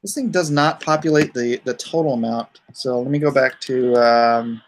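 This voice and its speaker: A man's voice: medium pitch, moderate pace. A man hosting a casual livestream, rambling and easygoing as he thinks aloud. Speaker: livestream host and comic writer.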